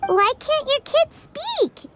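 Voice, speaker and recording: Anime game mascot: very high squeaky childlike fairy, naive, exclamatory, hugely animated. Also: female voice, slow, background noise, full character voice. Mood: curious. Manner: speaks of herself in third person, exclamatory. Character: Tiny childlike floating fairy companion, chirpy, naive and excitable. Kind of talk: scripted anime game dialogue.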